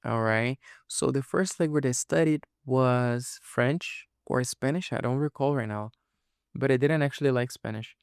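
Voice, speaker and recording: Casting: male podcast host, native Portuguese speaker, informal explainer, noticeable vocal fry, very dry close sound. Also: medium pitch, moderate pace, no echo. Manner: informal, explanatory, often checking in with "you know" and "okay". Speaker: podcast host and English teacher. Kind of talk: casual podcast monologue to listeners.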